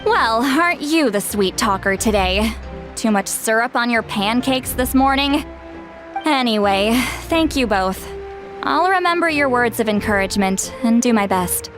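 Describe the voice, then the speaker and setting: A woman's voice: a little high, animated, measured pace. A woman voicing a confident, powerful leader who talks in a dry, teasing way, in game voice acting. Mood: wry. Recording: game audio with music.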